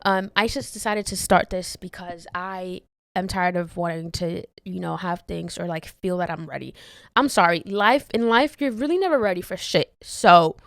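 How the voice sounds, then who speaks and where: A woman's voice: on the high side, no room sound, studio quality. Candid young woman rambling on her podcast. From Dominican Republic. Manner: candid, swears freely, trails off and restarts, laughs often. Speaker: podcast host. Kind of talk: rambling solo podcast chat. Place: bedroom, sitting on bed. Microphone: handheld mic.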